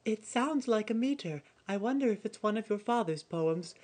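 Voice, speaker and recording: A woman acting in an old-time radio drama style, playing gentle, concerned female characters. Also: medium pitch, lively intonation, normal speed, natural voice. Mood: warm. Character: worried gentle mother, and a curious young girl.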